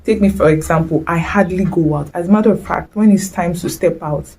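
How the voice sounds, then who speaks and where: A woman's voice: fairly low pitch, brisk, darkish tone, breathy edge, low-quality recording. A young woman with a Nigerian accent, giving a chatty, exclamatory motivational talk straight to camera and mixing in Nigerian slang. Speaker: vlogger.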